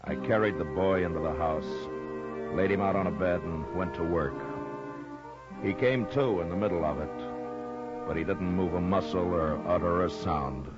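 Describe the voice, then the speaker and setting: An actor playing a steady, compassionate frontier marshal in an old time western radio drama. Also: male voice, low voice, normal speed, lightly acted. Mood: narrating. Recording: muffled old radio recording with music.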